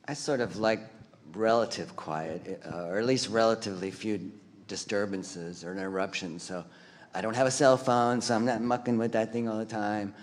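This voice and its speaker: A man with a lowish voice answers questions on an art panel. His words trail off in a long hall reverb, and he meanders loosely, leaning on "you know". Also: moderate pace. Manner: relaxed, meandering with many fillers. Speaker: panelist artist. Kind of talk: casual panel discussion.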